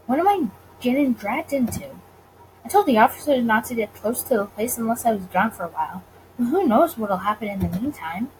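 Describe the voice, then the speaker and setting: A woman's voice: on the high side, lively intonation, fairly quick, darkish tone, poor audio. A woman gaming creator chatting casually and reading visual novel narration aloud, recorded with faint noise behind her. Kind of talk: casual let's play with read-aloud narration.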